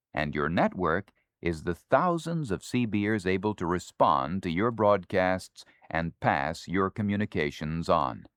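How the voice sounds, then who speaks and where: An American man reading scripted instructional narration in a formal, measured, authoritative manner. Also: low-pitched, no echo. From United States. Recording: dry 1970s spoken-word LP.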